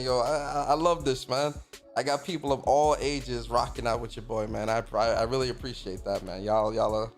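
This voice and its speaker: A man in his thirties hosting a casual gaming livestream, reading out viewer comments and giving loose, profane shout-outs to the chat. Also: mid-range voice. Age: 30s. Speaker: streamer and podcast host.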